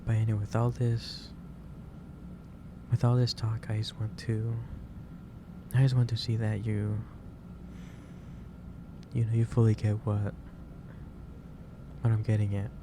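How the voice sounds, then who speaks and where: A man playing a gentle, caring office boss in a soft-spoken ASMR roleplay, talking in a halting, reassuring way. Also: lowish voice, completely flat delivery. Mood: patient, caring. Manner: halting, reassuring, unhurried delivery. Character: gentle, caring male office boss lecturing an overworked employee. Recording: clearly noisy background ambience.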